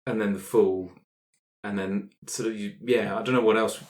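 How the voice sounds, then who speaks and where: A man's voice: fairly low pitch, fairly even intonation, moderate pace. A man playing on a roleplay podcast, thinking aloud hesitantly as he improvises in casual chat. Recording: roomy, echoing sound.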